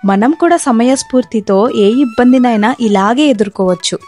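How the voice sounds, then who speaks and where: A woman's voice: fairly high pitch, normal speed, warm tone, soft background music. A woman narrating a scripted moral story as a storyteller.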